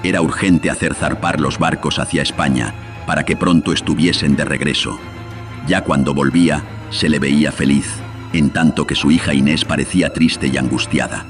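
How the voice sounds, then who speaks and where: A male narrator tells a scripted radio drama in an extremely low voice. His delivery is solemn and dramatic. Faint music plays behind him. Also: steady tone, moderate pace.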